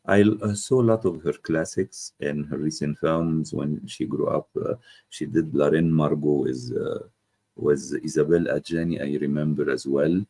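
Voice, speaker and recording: A middle-aged man interviewing a guest, speaking haltingly with many hesitations. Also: a bass voice, dark tone. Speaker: interviewer, host. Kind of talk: casual interview conversation.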